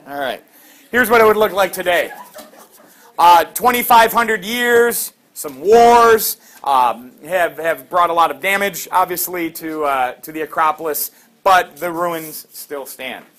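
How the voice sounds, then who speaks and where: A middle-aged man teaching a class, lively and quizzing students with quick questions. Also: sits high.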